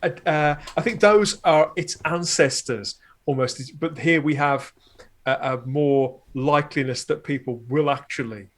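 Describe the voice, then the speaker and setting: A man's voice: fairly high pitch, unhurried. A man in his forties chatting casually as a podcast guest, jokey and rambling.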